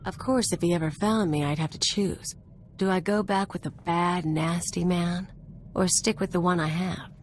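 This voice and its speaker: An actress playing a sly, teasing mercenary companion in a video game, playful and sardonic. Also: female voice, on the low side, steady tone, normal speed, a little hiss or hum, close to an everyday voice.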